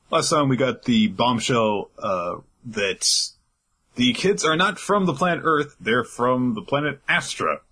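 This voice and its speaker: A man's voice: mid-range voice, a bit bright, husky. A man co-hosting a podcast, recapping anime episodes in loose, rambling chat full of filler words. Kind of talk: casual chat.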